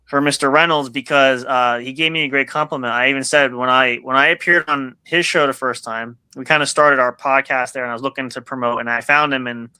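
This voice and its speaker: A man's voice: medium pitch, steady tone, fairly quick, few pauses, bright, forceful tone, clear, resonant tone, no echo, rough recording. A man hosting a podcast in casual interview chat, rambling with lots of restarts and fillers. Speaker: podcast host.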